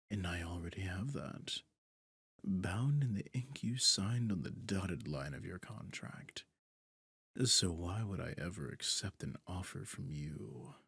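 A man playing a smug, possessive demon deal-maker in an ASMR roleplay, husky and breathy, often near a whisper, theatrical and taunting. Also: low-pitched, no room sound, clean studio sound, full character voice. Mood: menacing, then intrigued, threatening. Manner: theatrical, taunting, slow and deliberate. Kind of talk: ASMR roleplay audio drama.

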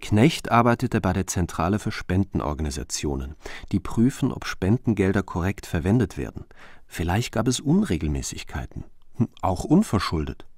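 Male investigator, crime radio play: deep, rough-edged, terse delivery with wide melodic swings. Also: sing-song, normal speed, darkish tone, no echo, studio quality, full character voice. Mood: matter-of-fact. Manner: terse, matter-of-fact. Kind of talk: crime audio drama, clipped narration.

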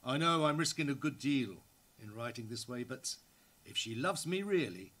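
A man's voice: medium pitch, lively intonation, moderate pace, bright, forceful tone. An actor in his sixties giving a dramatic reading as an ardent, guilt-ridden male writer addressing his lover, in a live room. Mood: urgent, pleading. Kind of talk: dramatic reading of a literary letter. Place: indoor library room, seated before an audience.

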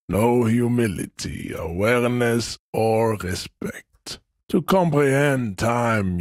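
An actor playing an arrogant hyper-masculine strongman philosopher in a video game, lecturing with pompous, deliberate condescension. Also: fairly low pitch, dry recording, clean studio sound. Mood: disdainful. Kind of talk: video game character acting.

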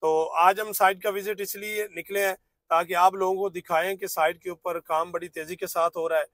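A man's voice: high voice, fairly even intonation, moderate pace, a bit bright, dry recording. A man giving a fluent, salesy narrated site tour from inside a moving car. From Pakistan. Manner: fluent salesman patter with filler phrases. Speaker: real estate vlogger.

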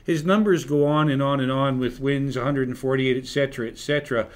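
Sports talk host, male, older, medium pitch, rambling and conversational, studio mic. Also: fairly even intonation, brisk. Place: indoor broadcast studio. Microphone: desk broadcast microphone.

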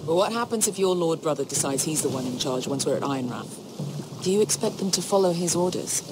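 A man's voice: on the high side, animated, fairly quick, close to an everyday voice. Man playing wary, blunt sellsword over noisy sea. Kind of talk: dramatic video game acting.

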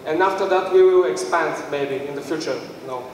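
Young man, Bulgarian accent, high voice, hesitant presenter, distant in a reverberant hall. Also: a little fast, a little mellow. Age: young adult. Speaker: startup team presenter. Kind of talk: live pitch presentation. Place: indoor event room with stage area. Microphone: handheld mic, recorded by far camera. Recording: very echoey hall, distant mic, faint noise.

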